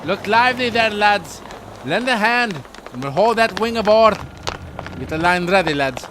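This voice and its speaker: A salty sea captain acted by a man for an old-style radio play, grave and used to giving orders. His voice sits a little high for a man, with noticeable noise behind it. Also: lively intonation, moderate pace. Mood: commanding. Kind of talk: old-time radio drama acting.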